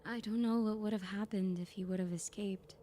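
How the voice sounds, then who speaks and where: A woman's voice: medium pitch, steady tone, fairly quick, mellow, slight background noise, close to an everyday voice. A woman acting a weary, bookish, dryly sardonic soldier-scholar in a sci-fi audio drama, with natural, hesitant conversational delivery. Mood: weary, concerned.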